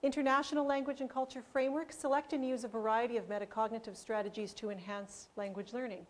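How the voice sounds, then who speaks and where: A woman giving a conference presentation on language teaching, explaining ideas and pausing with fillers. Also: fairly high pitch, steady tone.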